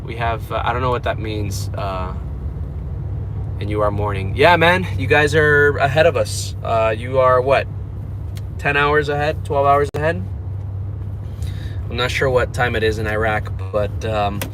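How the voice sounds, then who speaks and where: A man's voice: medium pitch, fairly even intonation, measured pace, long pauses. A man from Florida with an American accent chats casually to a livestream while driving, in a rambling, slangy, laid-back way. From Florida, United States. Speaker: car livestreamer. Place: inside a moving car. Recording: loud car engine and road noise. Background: car and road noise.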